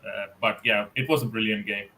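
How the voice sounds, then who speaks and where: A man's voice: on the low side, steady tone, fairly quick, pushed and bright, low-quality recording. A man co-hosting a casual football podcast, speaking hesitantly with many fillers. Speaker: podcast co-host.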